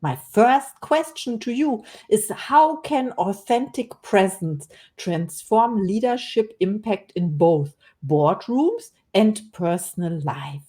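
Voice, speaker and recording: A woman's voice: medium pitch, expressive, slow, few pauses, mellow. A woman, an English speaker hosting an interview, enthusiastic and now and then searching for words. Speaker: podcast host.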